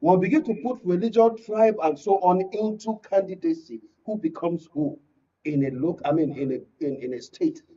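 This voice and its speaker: A man's voice: sits high, moderate pace, mellow. A man with a Nigerian accent giving earnest, firm opinions as a guest on a political panel. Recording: dull, distant studio sound.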